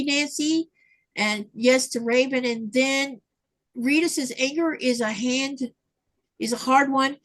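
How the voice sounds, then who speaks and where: A woman's voice: fairly high pitch, fairly bright tone, rough recording. Chatty woman host reading comments. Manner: reads viewer comments aloud, chatty with fillers. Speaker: livestream host reading chat. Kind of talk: casual chat. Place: home livestream. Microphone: livestream mic.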